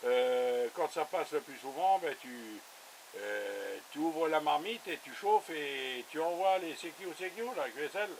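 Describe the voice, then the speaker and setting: A man's voice: on the high side, lively intonation, a little slow, a little hiss or hum, low-quality recording. A man chatting casually as an amateur radio operator, in a colloquial, easygoing way. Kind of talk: casual radio contact chat. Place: radio station with a camera running.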